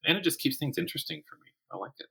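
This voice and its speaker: A man's voice: fairly high pitch, lively intonation, very fast, vocal fry, sharp tone, husky. A middle-aged man talking casually and thoughtfully as an interview guest, revising his sentences as he goes. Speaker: guest, writer and college teacher.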